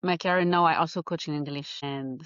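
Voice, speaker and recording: A woman from Geneva with a Swiss French accent, a life coach chatting in an interview and often pausing to find her words. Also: low voice, clear, resonant tone, no room sound, lo-fi sound. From Geneva, Switzerland. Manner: animated, laughs often, searches for words. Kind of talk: casual interview chat.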